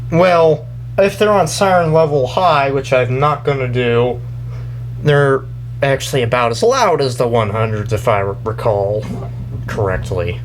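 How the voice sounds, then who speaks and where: A man hosting a casual show-and-tell, talking in a rambling, halting way and often trailing off mid-thought. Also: mid-range voice, slight background noise, rough recording. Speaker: video host and weather radio collector.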